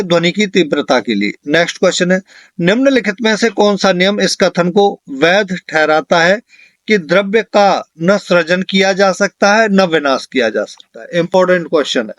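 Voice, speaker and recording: A man's voice: high-pitched, a bit bright, dry recording. A man giving an exam lesson as a coaching teacher, instructional and repeating questions and answers for emphasis.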